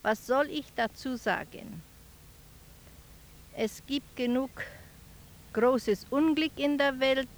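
A woman's voice: high voice, takes it slowly, pauses often. A woman playing a resigned, helpless commentator in a subdued radio-play monologue.

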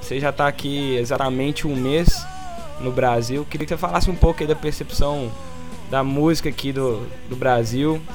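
A man hosting a casual podcast, asking questions in a relaxed, friendly way with fillers. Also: mid-range voice, moderate pace, music in the background. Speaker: podcast host. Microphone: computer mic.

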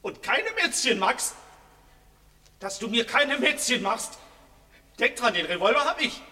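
An actor playing a nervous young crook in a radio crime drama, blustering threats and stammering repetitions but easily frightened. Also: male voice, very high voice, sing-song, unhurried, sharp tone, breathy edge, no echo, exaggerated voice. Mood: edgy, threatening.

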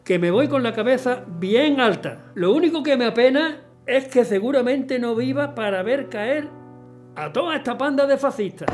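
A proud old prisoner , voiced by a woman, with a slightly high voice. The delivery is dignified, resolute and defiant, in a radio drama with faint music behind. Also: moderate pace, a little mellow, natural voice. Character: proud, defiant elderly leftist parent-in-law under arrest.

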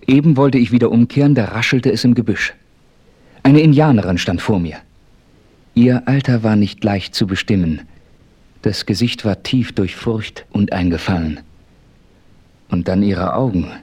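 A man's voice: lowish voice, moderate pace, pauses often, a little mellow, husky. An actor playing a resolute, commanding Western adventurer who narrates his story in a 1970s radio play. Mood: measured storytelling. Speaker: narrator and lead character. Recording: faintly noisy old radio play recording.

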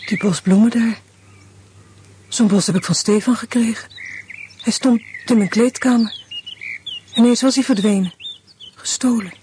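A woman's voice: on the high side, measured pace, long pauses, warm tone, slight background noise, natural voice. An actress playing a distraught young woman who insists on what she saw, in a radio drama. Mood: shaken. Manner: shaken, insistent.

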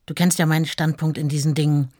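An actress playing a writer's devoted, gently firm wife in a German radio play, speaking in a measured, plain way. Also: female voice, sits low, flat delivery, no room sound, studio quality. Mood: tender restraint. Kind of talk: German radio play, first-person recollection and letters.

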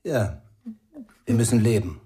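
An actor playing a calm, stoic man in a radio crime drama, speaking in a measured, terse and unyielding way. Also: on the low side. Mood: stern, philosophical. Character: calm, stoic male guardian of an addict.

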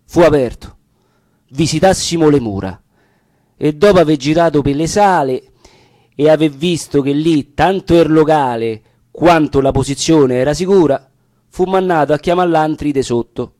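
Casting: male actor as volunteer soldier narrating in verse, Roman accent, higher-pitched, dry recording. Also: moderate pace, mellow, dry recording, lightly acted. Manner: dramatic, storytelling. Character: male Italian volunteer soldier telling of a night mission. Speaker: actor reciting dialect verse. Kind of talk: dramatic verse recitation, narrating a war tale.

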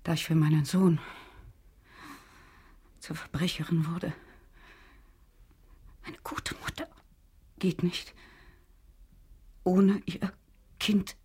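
A guilty, dying mother in a radio drama. She whispers very breathily and slowly, faltering as she gasps for strength. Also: lowish voice, fairly even intonation, a little mellow, slightly rough, heavily acted. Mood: dying, bitter. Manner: slow, faltering. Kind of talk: German radio drama acting.

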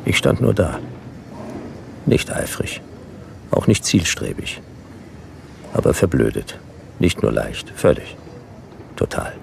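An actor playing a laconic, world-weary, befuddled private detective, narrating a hard-boiled radio drama in clipped, deadpan sentences. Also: male voice, low-pitched, slow pace, creaky, pauses often, a little hiss or hum, exaggerated voice. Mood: dry, bemused. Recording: music behind the voice.

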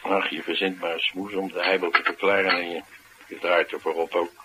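An actor playing a tough, cynical, streetwise bodyguard in a radio play, talking in a colloquial, matter-of-fact way. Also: male voice, deep voice, flat delivery, brisk, very noisy, lightly acted. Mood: wry, candid. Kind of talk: naturalistic radio drama dialogue.

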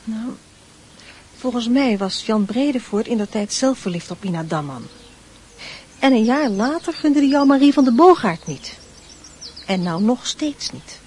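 A woman playing a gentle, sensible middle-aged mother of a teenage boy in a radio drama, calm and advising. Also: on the high side, measured pace, pauses often, a little hiss or hum. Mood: reasoning, probing.

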